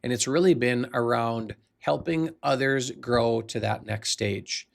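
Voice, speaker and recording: An American man in his forties with a noticeably creaky, gravelly voice at a medium pitch. He speaks as a coach sharing a personal story, earnest and conversational, leaning on key words for emphasis. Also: unhurried. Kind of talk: motivational personal storytelling to camera.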